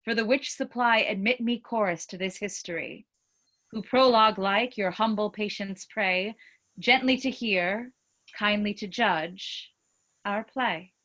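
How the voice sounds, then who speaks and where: Casting: woman to play earnest stage Chorus, classical verse, unhurried and declamatory, mid-pitched. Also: fairly bright tone, natural voice, low-quality recording. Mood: inviting, earnest. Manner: measured, declamatory. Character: Chorus, earnest prologue speaker of a Shakespeare history play. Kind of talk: classical Shakespeare stage recitation.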